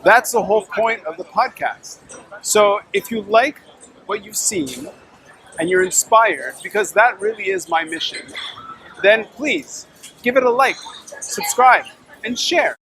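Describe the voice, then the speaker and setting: Bright-voiced chatty man. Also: high-pitched, expressive, unhurried, a little hiss or hum, rough recording. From Quebec, Canada. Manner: jokey, chatty, thinks out loud with fillers. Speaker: podcast host. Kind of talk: casual conversation.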